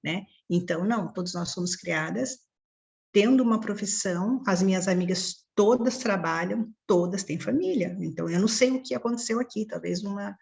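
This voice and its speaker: A Brazilian woman with a mid-pitched, gravelly voice speaks in a casual study group. She sounds earnest and pauses now and then to find her words. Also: a little mellow.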